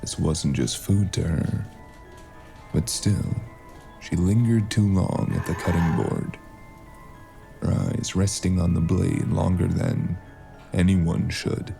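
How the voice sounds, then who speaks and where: Very gravelly, low, slow male narrator. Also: steady tone, dark tone, faint music behind. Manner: brooding, measured, dramatic. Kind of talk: scripted noir story narration.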